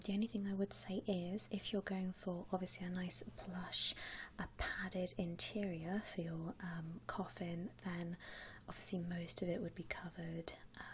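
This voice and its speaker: A woman softly whispering in an ASMR roleplay as a polite, reassuring shop assistant helping a customer. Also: lowish voice, a little hiss or hum. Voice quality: soft, breathy whisper. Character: courteous, obliging female coffin saleswoman.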